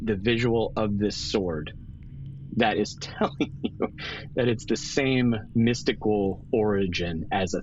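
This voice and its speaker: A man acting as game master, narrating a tabletop roleplaying scene in halting, descriptive phrases. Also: lowish voice, highs cut off, slight background noise.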